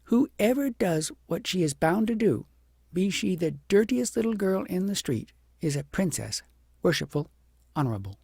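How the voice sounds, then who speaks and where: A grave, loving wise woman , voiced by a man teaches patiently in a storybook. The voice is lifted high, with a soft mellow tone and some husky grain. The delivery is measured and earnest. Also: very animated, no echo, clean studio sound, heavily acted. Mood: earnest, tender. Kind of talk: audiobook character voice.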